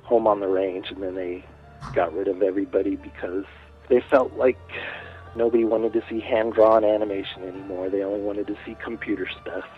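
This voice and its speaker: A man's voice: fairly low pitch, fairly even intonation, fairly quick, soft background music. A man in his late forties, a guest artist, chatting casually and rambling a bit over a phone line. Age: 40s. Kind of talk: casual interview chat.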